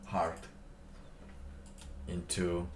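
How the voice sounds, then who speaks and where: A man live streaming, chatting casually in a halting, rambling way with lots of fillers. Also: deep voice, monotone, a little hiss or hum. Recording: roomy, distant mic with faint ambience.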